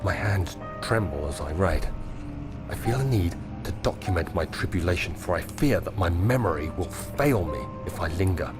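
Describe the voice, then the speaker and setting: A deep-voiced 19th-century explorer reads his journal aloud in a measured, formal game narration. His delivery is grave and steady, and music plays beneath him. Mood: uneasy. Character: 19th-century male explorer writing a diary. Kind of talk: scripted diary narration.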